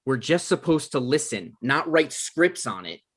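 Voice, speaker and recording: A man playing a deadpan, argumentative adult son and aspiring writer in a comedy script read. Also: mid-range voice, a little slow, breathy edge, full character voice. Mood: exasperated.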